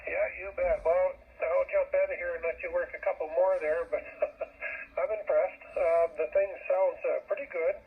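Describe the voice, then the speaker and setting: A man's voice: high voice, fairly even intonation, normal speed, gravelly, slightly rough. A man chatting casually and appreciatively as an amateur operator, heard through the speaker of a two-way shortwave radio. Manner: informal, appreciative. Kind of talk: casual ham radio chat. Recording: narrow tinny shortwave radio transmission.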